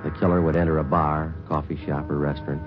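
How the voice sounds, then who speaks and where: An actor playing a terse, deadpan police detective narrating a vintage radio crime drama. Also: male voice, extremely low pitch, quick pace. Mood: matter-of-fact. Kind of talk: 1950s radio drama narration, clipped and factual. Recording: muffled old radio recording with music.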